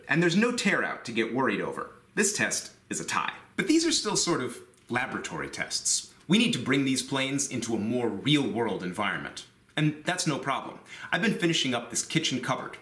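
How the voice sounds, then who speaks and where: A man's voice: mid-range voice, pushed and bright, roomy sound. A man in his thirties hosting a woodworking video, talking emphatically and candidly in a small workshop. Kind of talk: instructional voice-over and talking to camera.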